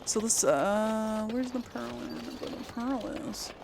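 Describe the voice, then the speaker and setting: A woman's voice: fairly high pitch, measured pace, creaky, noisy background. A woman giving casual let's play commentary as host of a gaming video, chatty and thinking aloud as she goes.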